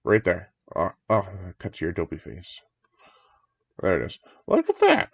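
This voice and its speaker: Congested low-voiced man reacting to a trailer. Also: wildly swooping pitch, unhurried, gravelly, dry recording. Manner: enthusiastic, rambling, chuckles often. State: congested from a recent cold. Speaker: horror movie reviewer. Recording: dry, dull lo-fi home recording.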